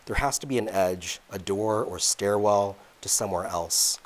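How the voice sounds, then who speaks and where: A man reads from his own novel at a public event. His voice is very deep, and he takes the prose at a measured, deliberate pace. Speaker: author reading his novel. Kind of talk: reading aloud from a novel.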